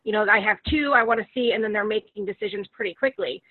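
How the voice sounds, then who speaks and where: A woman real estate agent chatting casually in a podcast, relaxed and full of fillers. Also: mid-range voice, quick pace, dry recording. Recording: tinny dry phone-like sound.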